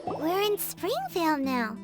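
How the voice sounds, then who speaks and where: A tiny fairy companion girl from a video game, with an extremely high, squeaky voice. She is childlike and excitable, swooping up and down as she takes her time over the words. Light music plays behind her. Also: takes it slowly, full character voice. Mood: perky. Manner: speaks of herself in third person, perky. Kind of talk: video game character acting.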